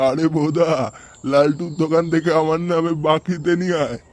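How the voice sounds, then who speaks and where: A man voicing a crude, boastful old village grandpa ghost in a comedy cartoon, gruff and bossy. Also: on the high side, level tone, fairly quick, a little mellow, lightly acted. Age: older.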